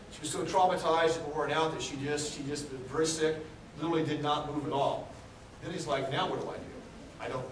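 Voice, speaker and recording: A high-voiced man talks casually to a live audience, cracking jokes and asking the crowd questions. He is from Texas. The recording has an echoey hall sound, with the mic set well back from him and steady background noise. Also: recorded from a distance. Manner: joking, chatty, asks the audience questions. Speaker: aquarium CEO giving a talk. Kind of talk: casual public talk to a crowd. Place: large indoor room with an audience.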